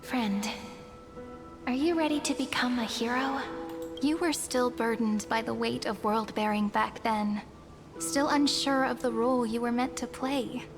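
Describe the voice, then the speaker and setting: An actress voicing a gentle, wistful young fantasy game heroine, speaking in a measured, tender, poetic way. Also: female voice, fairly high pitch, steady tone. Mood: earnest. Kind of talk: scripted fantasy game voice acting. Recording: long reverb under game music.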